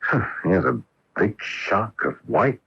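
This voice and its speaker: An actor playing a bewildered, curious astronaut husband in a radio drama, excitable and halting when startled. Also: male voice, fairly low pitch, vocal fry, slightly rough, exaggerated voice. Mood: startled, uneasy. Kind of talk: 1980s radio drama acting. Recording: dull lo-fi AM radio broadcast.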